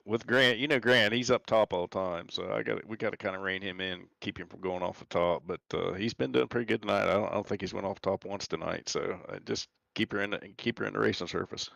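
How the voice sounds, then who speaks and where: A man's voice: low-pitched, quick pace, vocal fry, few pauses, fairly bright tone, no room sound. A man in his fifties from Central Florida, a sim racing driver giving a hesitant, polite interview with many fillers. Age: 50s. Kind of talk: casual post-race interview.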